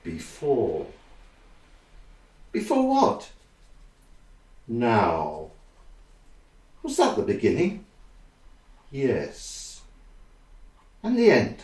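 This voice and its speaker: Dazed dreamer, hushed and hesitant, wildly swinging pitch, distant from the mic. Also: huge pitch swings, extremely slow, long pauses, reverberant, heavily acted. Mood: dazed, mysterious.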